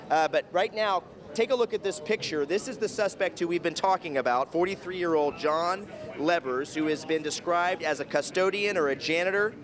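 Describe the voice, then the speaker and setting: American male field reporter, slightly high voice. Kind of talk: live breaking news reporting.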